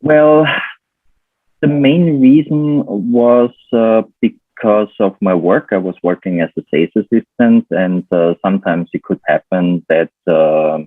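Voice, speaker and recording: German guy, really low husky voice, halting chat, tinny phone-line sound. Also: steady tone, dry recording. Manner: hesitant, many fillers and restarts. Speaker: guest. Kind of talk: casual chat.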